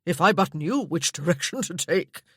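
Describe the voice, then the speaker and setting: A woman voicing a boisterous, jovial elderly nobleman who booms and roars his words. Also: animated, normal speed, breathy edge, no room sound, clean studio sound. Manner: booming, roaring with laughter.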